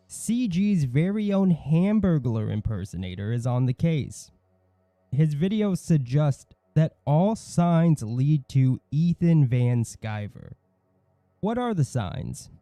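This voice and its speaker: A man with a very dark, mellow voice delivers scripted online commentary. His delivery is snide and mocking, and the sound is dry and close. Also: fairly high pitch, dry recording. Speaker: YouTube channel host.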